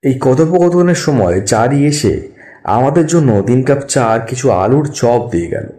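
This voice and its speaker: A man's voice: mid-range voice, lively intonation, measured pace, dark tone. A man narrating a scripted first-person audio story.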